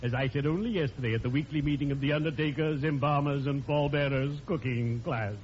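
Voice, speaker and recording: An actor playing a morbidly genial undertaker in 1940s radio comedy, with a formal, ceremonious, droll manner. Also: male voice, fairly high pitch, steady tone, fairly quick, natural voice. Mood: pompous, droll. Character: morbidly genial, pompous small-town undertaker. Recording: muffled, noisy old radio recording.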